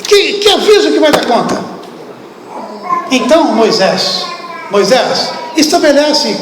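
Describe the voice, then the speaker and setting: A Brazilian man preaches with a very high-pitched voice, speaking directly to his congregation and throwing questions at them. The sound is roomy, with a long church-hall reverb and a faint hiss behind it. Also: takes it slowly. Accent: Brazilian Portuguese. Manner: animated, acts out biblical speech, asks the congregation questions. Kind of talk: sermon. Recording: echoey hall, distant room.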